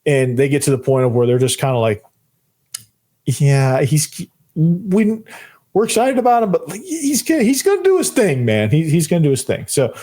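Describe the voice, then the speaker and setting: A young man with a hoarse, fading voice hosting a solo sports podcast, fired up and rambling, repeating himself and tossing in "man" a lot. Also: fairly high pitch, very animated, moderate pace, dark tone. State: losing his voice, hoarse. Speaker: podcast host.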